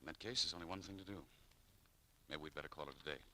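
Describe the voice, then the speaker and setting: An actor playing an earnest, dignified shop clerk who protests politely, in 1940s radio style. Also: male voice, rumbling low, quick pace, pushed and bright, full character voice. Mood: wounded, resigned.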